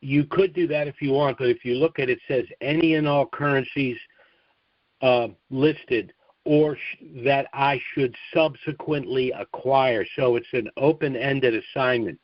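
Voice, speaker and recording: Low-pitched man on a dull-sounding call. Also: steady tone, measured pace, no room sound. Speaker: host of a conference call. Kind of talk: business conference call with Q and A.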